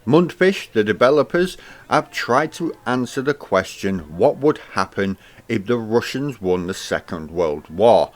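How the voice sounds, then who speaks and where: A man narrating a scripted video game review. He opens each phrase high and lets it drop at the end. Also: measured pace. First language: English. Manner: lively delivery, pitch rising at the start of each phrase and dropping at the end.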